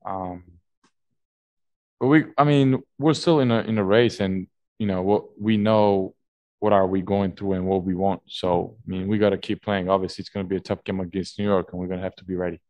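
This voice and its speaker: Low-voiced young man, halting postgame answers. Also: steady tone, darkish tone, no room sound, muffled recording. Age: young adult. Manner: halting, many fillers and restarts. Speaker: basketball player at postgame press conference. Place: team media room, indoors. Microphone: table mic on stand.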